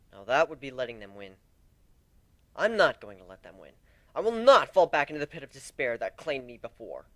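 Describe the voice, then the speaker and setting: A man's voice: on the high side, fairly bright tone, voice pushed up. A man voicing a wounded, vengeful but fragile male griffin warrior in a theatrical dramatic story reading. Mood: defiant. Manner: intense, theatrical, swinging into brooding.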